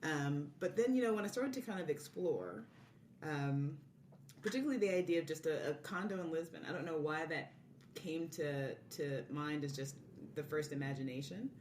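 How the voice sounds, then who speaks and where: Low-voiced woman in a roomy recording. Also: normal speed, a little mellow. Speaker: podcast guest. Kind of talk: casual conversational explanation. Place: indoor room, recorded in person. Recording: roomy, distant mic, faint noise.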